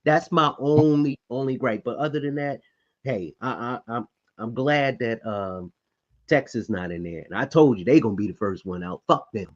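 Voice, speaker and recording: A man's voice: medium pitch, moderate pace. Blunt profane man on dull audio. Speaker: podcast co-host. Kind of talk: casual sports chat.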